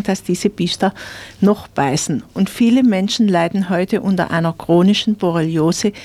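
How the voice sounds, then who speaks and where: A woman presenting advice on a radio magazine, explaining clearly and repeating details for listeners. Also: on the low side, a little mellow.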